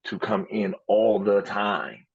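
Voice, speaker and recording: A man's voice: on the low side, slow pace, gravelly, breathy edge, no room sound, lo-fi sound. A man hosting a podcast who talks emphatically and repeats phrases to drive his point home. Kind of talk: podcast conversation.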